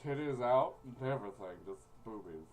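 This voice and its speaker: A woman with a very low voice gives crude, casual game commentary, swinging widely in pitch. She stumbles as she reads aloud and swears freely, with faint noise behind her. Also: expressive, moderate pace, a little mellow, low-quality recording. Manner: foul-mouthed, stumbling over reading. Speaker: gaming video host.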